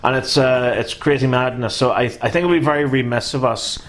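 A man's voice: medium pitch, fairly quick. A man in his thirties with a Northern Irish accent, co-hosting a casual chat, reading out viewer comments and riffing on them with lots of swearing. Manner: animated, swearing, gestures a lot while talking. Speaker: co-host. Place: small indoor room.

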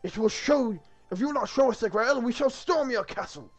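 A man's voice: high voice, animated, brisk, soft background music, pitched above the performer's own voice. A man playing an earnest, regal king who proclaims formally and insistently, in a comic script reading. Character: earnest, regal King Arthur growing exasperated.

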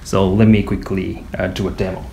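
A man giving an informal product demonstration in a hesitant, halting way with frequent pauses. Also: low-pitched, level tone, gravelly, dark tone. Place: small indoor office or meeting room. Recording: echoey room, faint background noise.

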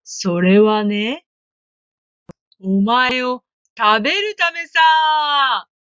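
A woman voicing a sly, hungry male wolf in a storybook reading, speaking slowly with gloating menace. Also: high voice, fairly bright tone, clear, resonant tone, no room sound, lo-fi sound, full character voice. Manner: slow, gloating, drawn-out menace. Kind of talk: storybook read-aloud.

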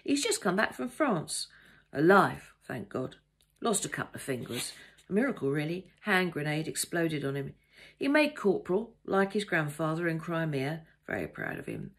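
Woman voicing a blustering, gravelly older man. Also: low voice, wildly swooping pitch, moderate pace, a bit bright, heavily acted. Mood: chatty, proud. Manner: blustering, patronising, colloquial. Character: talkative pompous older man, tactless railway passenger. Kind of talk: audiobook character dialogue.

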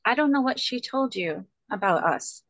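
A woman's voice: a little high, animated, normal speed, a bit bright, no echo. A middle-aged woman playing an earnest, lovelorn woman putting on a brave face in a screenplay table read. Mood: brave, resigned. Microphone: video call microphone.

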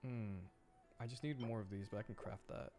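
A man doing casual livestream gameplay commentary, excitable and thinking aloud as he plays. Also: medium pitch, brisk, music in the background. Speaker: streamer.

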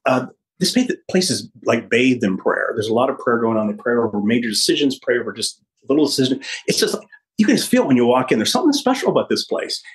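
A middle-aged man chats as a podcast host. His voice sits at an average pitch. He is informal and appreciative, phrasing things loosely as he goes. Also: animated, quick pace, low-quality recording. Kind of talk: casual interview chat. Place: small office, on a video call. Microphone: handheld phone.

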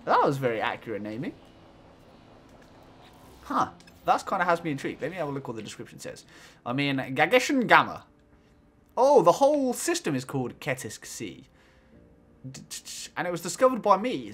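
A young man streams a game from his desk mic with a fairly high, wildly swinging voice. He giggles a lot and goes from low mumbles to excited yelps, sounding a little croaky, with faint noise behind him. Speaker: gaming YouTuber. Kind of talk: excitable let's-play commentary.

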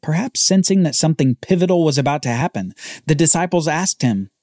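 A man hosting a podcast, telling a Bible story as scripted narration with dramatic pauses. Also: a little high, animated, a little mellow, no room sound, studio quality.

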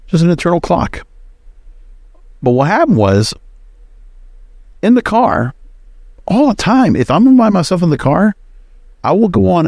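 Low-pitched man, casual podcast monologue. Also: darkish tone, no echo, clean studio sound. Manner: rambling, conversational. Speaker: podcast host.

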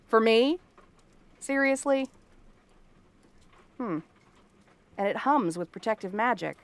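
A woman's voice: fairly high pitch, lively intonation, takes it slowly. A woman playing a cranky, tomboyish young frog-girl princess in a fantasy roleplay, sarcastic and haughty. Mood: flustered, grudging. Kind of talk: scripted fantasy ASMR roleplay.

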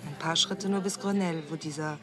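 An actress playing a wistful woman in a radio drama, recalling a friend of her youth in halting fragments. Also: low voice, fairly even intonation, a crowd in the background, natural voice. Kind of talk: German radio drama acting. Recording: music under the voice.

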